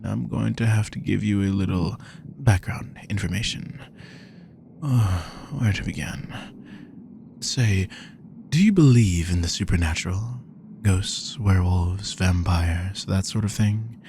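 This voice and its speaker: A man voicing a courteous, predatory male vampire who poses as a lab technician, speaking softly and teasingly and sometimes dropping to a whisper. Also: on the low side, gravelly, a little hiss or hum. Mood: reassuring. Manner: slow, soft-spoken, teasing, drops to whispers. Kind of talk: audio roleplay to the listener.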